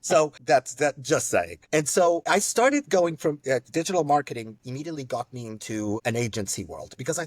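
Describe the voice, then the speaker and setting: A man in his forties, from South Africa and raised in Portugal, telling his career story as a podcast guest in an animated, self-joking way. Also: medium pitch, husky, dry recording. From born in South Africa, raised in Portugal. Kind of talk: casual interview chat.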